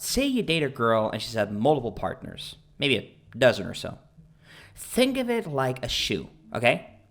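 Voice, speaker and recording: Audio drama: young male online creep, self-pitying, boastful, theatrical, very animated delivery. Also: mid-range voice, heavily acted. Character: bitter, boastful young male internet misogynist, pleading then ranting.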